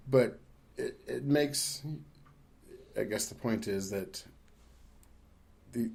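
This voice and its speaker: A man with a somewhat low voice speaks as a podcast co-host. His talk is casual and opinionated, delivered in a measured, matter-of-fact manner. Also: a little mellow.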